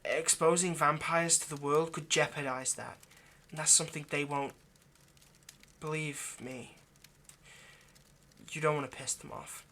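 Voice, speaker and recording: A man plays an ageless male vampire in an intimate ASMR roleplay. He is a possessive but remorseful lover, explaining himself in halting, earnest pleas that can sink toward a hush. Some background noise sits behind the voice. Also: on the high side, steady tone. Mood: ominous warning. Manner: halting, earnest, pleading, dropping to near-whisper.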